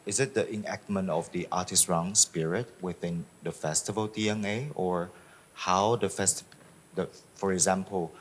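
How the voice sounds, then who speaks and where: A man's voice: low voice, steady tone, moderate pace, slight background noise. A man moderating a public talk, asking questions tentatively and rephrasing them as he goes. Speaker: panel moderator.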